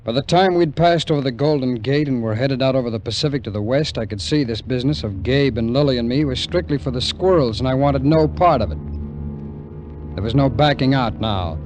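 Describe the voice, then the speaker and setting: A man's voice: mid-range voice, a little fast, darkish tone, slight background noise, close to an everyday voice. An actor playing a wary, cynical American ex-soldier who tells his story in slangy, wry 1950s radio drama narration. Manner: slangy, hard-boiled, wry. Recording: vintage radio recording with music.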